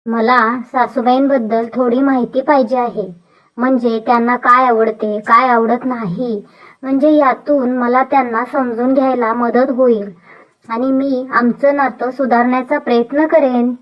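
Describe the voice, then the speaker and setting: An earnest young daughter-in-law in a moral story cartoon, acted by a woman. Her high voice moves at a slow, careful pace. The sound is slightly dull and lo-fi. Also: fairly even intonation, lightly acted. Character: earnest young city-bred daughter-in-law, contrite and willing. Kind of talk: animated moral story dubbing.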